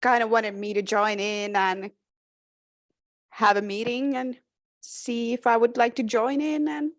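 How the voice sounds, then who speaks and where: A woman makes polite, agreeable small talk on an online call. Her voice sits a little high, and it comes through close and dry with no room echo. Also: steady tone, a little slow. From Finland. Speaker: visitor to a club meeting. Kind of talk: casual chat on a video call. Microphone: video call.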